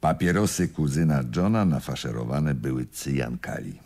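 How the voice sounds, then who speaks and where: A man acting a shrewd, self-assured older police inspector in a Polish radio drama, gravelly and theatrically knowing. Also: animated, moderate pace, a little mellow. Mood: smug, knowing. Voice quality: gravelly, very deep. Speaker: actor in a radio play.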